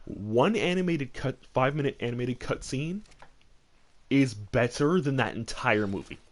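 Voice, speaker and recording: A man chatting casually as host of a gaming discussion, rambling and emphatic, often repeating phrases for stress. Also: medium pitch. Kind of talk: casual chat, opinionated commentary.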